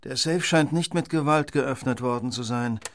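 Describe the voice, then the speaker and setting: A man's voice: medium pitch, moderate pace, natural voice. An actor playing a shrewd, composed male detective in a German radio drama, probing and precise with dry irony. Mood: analytical, dry. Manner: probing, precise, dryly ironic.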